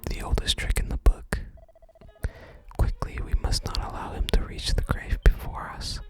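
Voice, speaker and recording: A person whispering in a soft, breathy, unhurried ASMR style while narrating a video game and reading its text aloud. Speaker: ASMR gaming video host.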